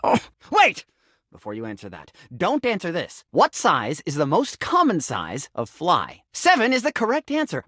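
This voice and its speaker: A man's voice: sits high, a bit bright, no room sound, clean studio sound, full character voice. A male actor voicing an eccentric, frantic talking sink, secretly a cursed man, in a theatrical video game style with melodramatic, pompous declaiming. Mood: quizzical, pompous. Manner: melodramatic, absurdist, swinging between pompous declaiming and shrieks.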